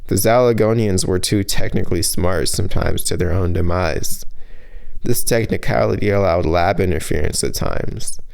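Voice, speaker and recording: A man narrating a fantasy audiobook as its author, reading in measured, terse phrases. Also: low voice, gravelly, darkish tone, poor audio.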